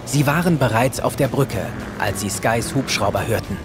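A man's voice: mid-range voice, moderate pace, music in the background. A man narrating a children's audio drama as a scripted storyteller.

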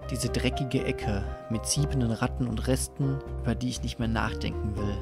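A man narrates an audio drama in the first person, reading from a script. His mid-pitched voice sits under clearly audible music. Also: steady tone, normal speed. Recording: loud music over the voice. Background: loud music.

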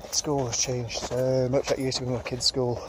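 A man vlogging casually as he walks through woods, filming on a handheld phone and thinking aloud. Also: medium pitch. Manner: rambling, relaxed, thinking aloud. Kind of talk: casual walking commentary. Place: woodland outdoors. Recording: outdoor phone audio with loud noise. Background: traffic noise.

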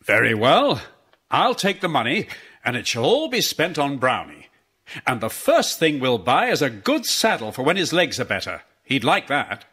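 Husky, animated British dad. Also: male voice, medium pitch, normal speed, exaggerated voice. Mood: warmly decisive. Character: kindly, fair-minded father of schoolchildren. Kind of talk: children's audio drama acting.

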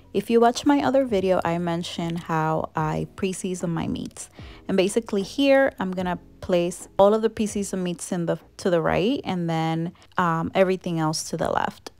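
Chatty, encouraging woman with a low voice. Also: normal speed, a little mellow, faint music behind. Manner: chatty and encouraging, laughs at her own mess. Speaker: home organizing vlogger. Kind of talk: casual talk to camera with voice-over. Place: home kitchen, indoors.

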